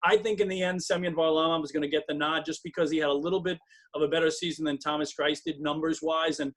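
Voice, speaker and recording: A man's voice: fairly high pitch, steady tone, fast. A man working as a hockey broadcaster, giving emphatic, detailed and fluent sports analysis as an interview guest.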